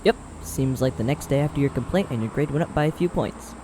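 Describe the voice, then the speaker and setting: A man's voice: mid-range voice, fast, background noise, natural voice. A man playing an easygoing young college student who jokes around in a laid-back way in an audio roleplay. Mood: casual, upbeat.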